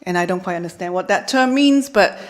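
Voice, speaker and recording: A woman's voice: fairly low pitch, lively intonation, brisk. Hesitant woman. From Hong Kong. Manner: hesitant, stammering restarts. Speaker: conference panelist, academic. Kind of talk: conference panel remarks. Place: conference hall.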